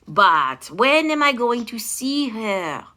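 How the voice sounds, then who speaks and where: A woman's voice: a little high, very animated, slow pace, sharp tone, exaggerated voice. A woman voicing a sulky, impatient king in a storybook, pompous and childish, with petulant emphasis.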